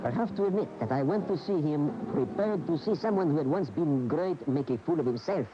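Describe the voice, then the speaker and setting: An actor playing a candid bullfighting professional, giving measured, confiding testimony in a radio drama. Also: male voice, a little high, lively intonation, music in the background, natural voice. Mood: candid, skeptical. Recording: dull AM radio tape.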